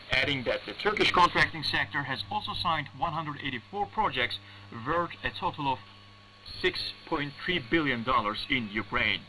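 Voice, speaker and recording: A man's voice: on the high side, pushed and bright. Man reading news on noisy, piercing shortwave radio. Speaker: radio newsreader. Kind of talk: formal scripted news reading.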